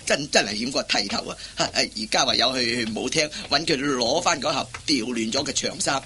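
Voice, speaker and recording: A bright, forceful voice on a slightly noisy old radio recording: a timid, henpecked husband in a comic play. He stammers and repeats himself in a flustered Hong Kong Cantonese accent. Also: medium pitch, a little fast. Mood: relieved, muttering. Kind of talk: Cantonese radio comedy acting.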